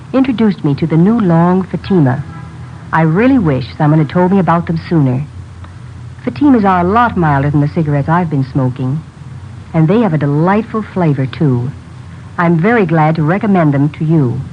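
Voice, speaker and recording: A woman delivering a scripted testimonial for a 1950s radio advertisement. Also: sits low. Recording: muffled old radio recording, faint noise.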